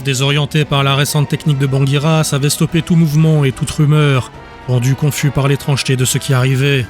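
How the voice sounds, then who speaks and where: A man reading scripted dramatic narration as the narrator of an audiobook. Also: mid-range voice.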